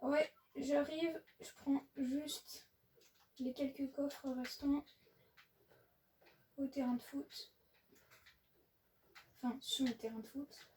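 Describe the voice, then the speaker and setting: A woman co-hosting a gaming video, explaining things in a relaxed, casual way. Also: high-pitched, slow pace, long pauses, recorded from a distance, slight background noise. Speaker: gaming channel co-host. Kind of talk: casual gameplay chat.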